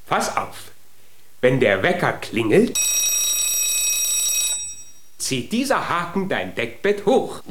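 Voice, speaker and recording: A man's voice: mid-range voice, huge pitch swings, slow, pauses often, very noisy. An actor playing a tiny, clever, stubborn young giant boy in a children's radio play, eager and insistent. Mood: excited, proud.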